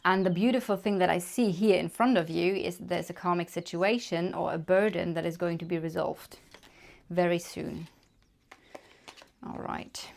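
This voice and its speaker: Low gravelly German-accented woman. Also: a little mellow, a little hiss or hum. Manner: chatty, thinking aloud with many fillers. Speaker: tarot reader. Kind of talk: casual card reading to camera.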